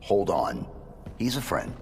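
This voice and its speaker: A man's voice: deep voice, very animated, takes it slowly, vocal fry, a little hiss or hum, heavily acted. A man playing a terse, stoic soldier hero in a video game, speaking in a curt, plain-spoken way. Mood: calm, firm. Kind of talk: video game cutscene acting. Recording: long hall reverb under loud music.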